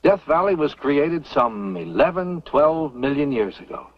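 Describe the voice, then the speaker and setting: A man's voice: fairly high pitch, big pitch swings, unhurried. A man playing a courteous, enthusiastic professor in an old western drama, explaining things in a scholarly, theatrical way. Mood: patient, cordial. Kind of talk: mid-century western drama acting. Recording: muffled vintage soundtrack, loud music.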